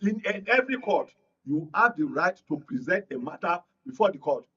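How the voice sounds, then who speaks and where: High, husky Nigerian man debating, dull audio. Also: big pitch swings, moderate pace, far from the mic. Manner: forceful, explanatory, repeats himself. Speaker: panel guest. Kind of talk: heated panel debate.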